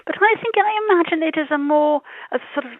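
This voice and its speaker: A woman's voice: high-pitched, fairly quick, no echo. A woman professor giving an unscripted interview in tentative phrases over a narrow, phone-like connection. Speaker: history professor being interviewed. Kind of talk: spontaneous interview talk. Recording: thin phone-like band-limited line.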